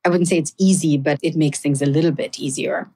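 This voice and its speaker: A woman's voice: low-pitched, fairly even intonation, fairly quick, mellow, breathy edge. Candid woman with an Indian accent. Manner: candid, earnest, says "frankly" and "right?" often. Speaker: guest, health system executive. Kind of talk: reflective interview answers.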